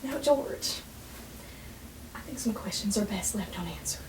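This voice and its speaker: A woman's voice: medium pitch, animated, a little slow, natural voice. A woman playing an earnest member of a struggling 1940s family in a radio play. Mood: gentle, subdued. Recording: echoey room, distant mic, faint hiss.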